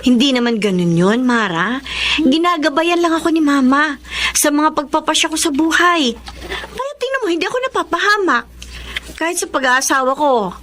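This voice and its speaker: An actress playing a dutiful married elder sister, earnest and gently admonishing, with a Filipino accent in a radio drama. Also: female voice, high voice, animated, moderate pace, slight background noise. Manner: earnest, advising. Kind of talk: Tagalog radio drama acting.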